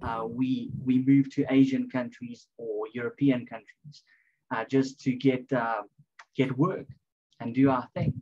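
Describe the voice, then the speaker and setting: A young man from South Africa, introducing himself in a friendly, relaxed way with fillers on a video call. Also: mid-range voice, a little slow, slight background noise. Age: young adult. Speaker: exchange student. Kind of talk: casual video call chat. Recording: dull lo-fi call audio.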